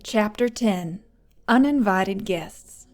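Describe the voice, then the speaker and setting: A woman's voice: medium pitch, slow pace, faint music behind, close to an everyday voice. A woman reading scripted audiobook narration as the storyteller.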